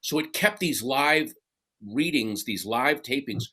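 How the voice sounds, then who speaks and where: Male panelist: bright tone, casual, effusive, halting reminiscence. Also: mid-range voice, lively intonation, slightly rough. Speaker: cast member on reunion panel.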